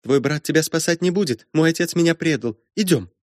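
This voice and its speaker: A man's voice: fairly high pitch, a little fast, no room sound, clean studio sound, pitched above the performer's own voice, lightly acted. A man voicing a young ghost girl, desperate, shivering and reproachful, in a plaintive, insistent manner for an audiobook. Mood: pleading, resentful.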